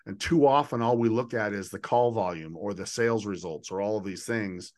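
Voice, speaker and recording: A man's voice: on the low side, a little fast, darkish tone. A man hosting a conversational interview, speaking in an enthusiastic, affirming and emphatic way as he lays out his ideas. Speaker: podcast host and leadership coach.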